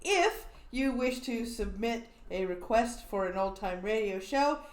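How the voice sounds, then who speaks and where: Slow-talking woman host, echoey room. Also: on the high side, expressive. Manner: laughing, brief wry reactions. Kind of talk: casual livestream chat.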